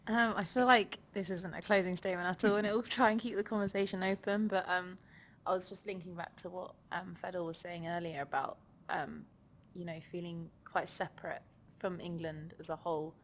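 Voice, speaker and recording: Reflective young woman with a low, flat voice. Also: brisk, a little hiss or hum. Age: young adult. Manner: reflective, questioning, with frequent fillers. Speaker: panel member. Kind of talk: panel discussion.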